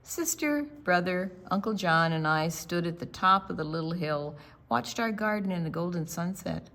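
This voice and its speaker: A woman's voice: on the low side, lively intonation, normal speed, a bit bright. An older woman reading a picture book aloud to children at a library storytime.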